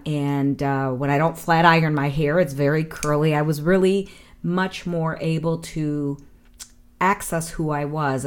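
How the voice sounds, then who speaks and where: Low-voiced woman in her 60s from Cleveland, reflective panelist. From Cleveland, Ohio, USA. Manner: reflective, measured, personal. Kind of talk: casual panel discussion.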